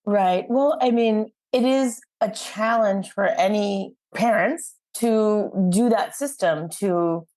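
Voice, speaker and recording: Female podcast host, average pitch, conversational, affirming interviewer who recaps and prompts. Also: takes it slowly, few pauses, a little mellow. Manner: affirming, sums up before asking questions.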